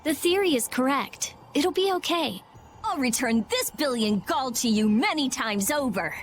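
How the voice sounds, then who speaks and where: An actress voicing a brainy, blunt teenage girl mage in a video game, with a brisk, matter-of-fact, snappy delivery. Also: high-pitched, expressive, soft background music. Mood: confident. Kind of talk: animated game voice acting.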